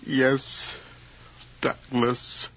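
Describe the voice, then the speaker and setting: An actor playing a haunted, fearful possessed man, halting and hushed, in a radio horror drama. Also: mid-range voice, monotone, husky, whispered, exaggerated voice. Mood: dazed, horrified. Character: Bob, haunted frightened man possessed by a spirit. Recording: dull old radio recording.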